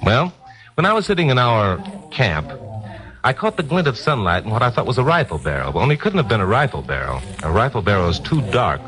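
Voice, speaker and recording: A man's voice: mid-range voice, very animated, fairly quick, fairly bright tone, low-quality recording. An actor with an American accent playing a tough, wisecracking newsman detective who narrates his case in a 1950s radio drama. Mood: smug, explaining. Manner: hard-boiled, teasing. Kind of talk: 1950s radio acting, first-person narration. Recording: 1950s radio recording with music.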